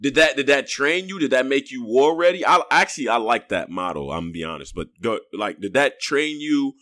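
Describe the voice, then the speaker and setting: A man's voice: mid-range voice, expressive, a little fast, a bit bright, no room sound. A male interview host with a mid-range voice who asks long questions that wander before they land. He talks in loose street slang, and his voice sounds dry and close with no room around it. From born in Houston, raised in Rochester, New York. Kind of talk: casual interview chat.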